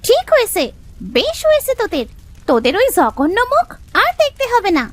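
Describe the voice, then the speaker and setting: Bratty cartoon stepsister: very high, swooping, spiteful, childlike, close dry sound. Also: female voice, huge pitch swings, moderate pace, no room sound, full character voice. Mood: gloating. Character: spoiled, spiteful schoolgirl stepsister. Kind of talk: Bengali cartoon voice acting.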